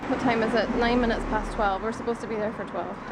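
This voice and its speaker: A woman's voice: a little high, steady tone, fast talker, heavy background noise. A woman speaking casually and informally as she vlogs on the go during an aid mission. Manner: informal, chatty. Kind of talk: casual handheld vlog commentary. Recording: loud music over on-location vlog audio.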